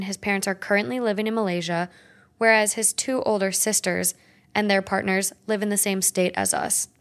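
A woman co-hosting an advice podcast, reading a listener's letter aloud in an even, steady way. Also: mid-range voice.

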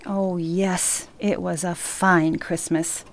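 A woman playing a loving, bustling grandmother in a sentimental radio play, expressive, chatty and affectionately teasing. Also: fairly low pitch, slow pace, a little hiss or hum. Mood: tender.

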